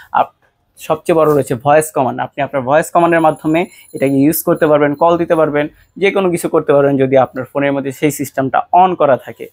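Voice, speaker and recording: A Bangladeshi man delivers a promotional sales pitch in a fairly high voice. He addresses viewers directly, in the persuasive way of a shop presenter. The sound is very dry, with hardly any room to it. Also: darkish tone, no echo. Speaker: shop presenter selling a product. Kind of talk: promotional product pitch to camera.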